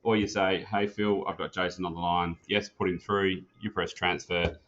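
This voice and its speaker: A man's voice: low voice, little pitch movement, normal speed, heavy background noise. A man giving an informal product tutorial in a casual, plain-spoken, practical manner, like an instructor walking a learner through each step. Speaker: instructor demonstrating an office phone system. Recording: dull, muffled lo-fi sound.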